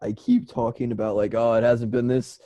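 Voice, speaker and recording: A man's voice: mid-range voice, little pitch movement, moderate pace, warm tone, no room sound, lo-fi sound. A man co-hosting a comedy podcast, telling rambling, joking anecdotes in casual, profane banter. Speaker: podcast co-host.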